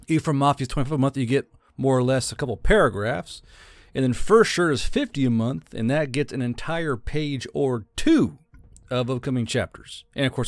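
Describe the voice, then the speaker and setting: A man's voice: mid-range voice, no echo. A man giving a casual, rambling channel outro as host, self-deprecating and sweary. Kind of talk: casual outro and membership plug.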